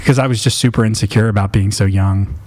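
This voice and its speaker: A man's voice: lowish voice, fairly even intonation, fast talker, darkish tone. A man in his late thirties hosting a podcast, chatting casually and telling personal stories in an informal, self-deprecating way. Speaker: podcast host.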